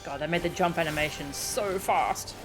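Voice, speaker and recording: A woman giving casual, expressive live commentary as she streams a game, reacting with playful indignation. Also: sits low, normal speed. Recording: game music behind the voice. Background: game music and character voice lines.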